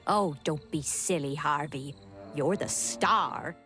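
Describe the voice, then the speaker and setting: A woman playing an unflappable, teasing onlooker in a game, droll and unhurried. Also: low-pitched, music in the background. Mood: amused, sardonic. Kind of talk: dramatic game dialogue.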